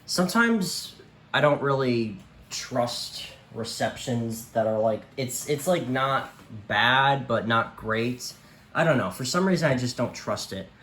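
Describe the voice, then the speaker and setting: A man's voice: medium pitch, lively intonation, unhurried, fairly bright tone. A man giving a casual, unscripted show-and-tell as a collector, rambling hesitantly and often trailing off. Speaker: radio collector presenting their collection. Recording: roomy echo, light background noise.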